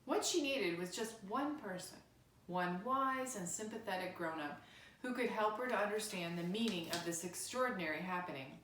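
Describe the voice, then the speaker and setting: Woman, mid-pitched, storybook narrator, scripted read-aloud, roomy echo. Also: fairly bright tone. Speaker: storybook reader. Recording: roomy, echoey indoor sound.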